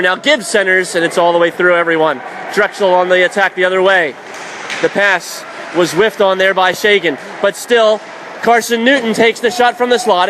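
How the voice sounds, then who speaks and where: A man doing live radio play-by-play of ice hockey in clipped, vivid phrases from a noisy arena. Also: high-pitched. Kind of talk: live sports commentary. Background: clear arena background noise.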